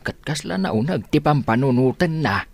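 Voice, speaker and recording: An actor playing an older father in a radio drama, calm and plain-spoken. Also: mid-range voice, normal speed, gravelly, no room sound.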